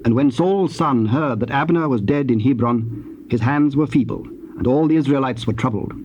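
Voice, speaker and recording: A man narrating scripture from a script in a measured, formal storytelling manner. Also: mid-range voice, a little mellow, lo-fi sound. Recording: noisy old cassette tape transfer.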